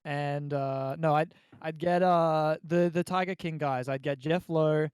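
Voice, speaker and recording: A man banters casually on a group podcast. His voice is clean and smooth, a little higher than most men's, and recorded dry and close. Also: steady tone, unhurried, a little mellow, no room sound. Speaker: podcast co-host. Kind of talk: casual joking group chat.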